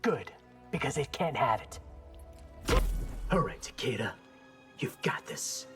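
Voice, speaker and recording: A man's voice: mid-range voice, big pitch swings, takes it slowly, fairly bright tone, faint music behind, full character voice. A man voicing a cocky, wry young fighter who trades casual quips in video game dialogue. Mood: wry, defiant.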